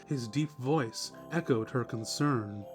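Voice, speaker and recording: Narrator, male, mid-range pitch, scripted storytelling for an audio drama, light music bed. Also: takes it slowly.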